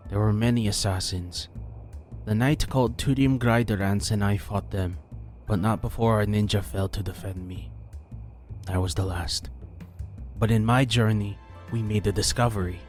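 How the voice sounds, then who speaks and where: A man voicing a grave, measured male ninja master bringing ill news. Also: fairly low pitch, steady tone, darkish tone, soft background music, pitched above the performer's own voice, lightly acted.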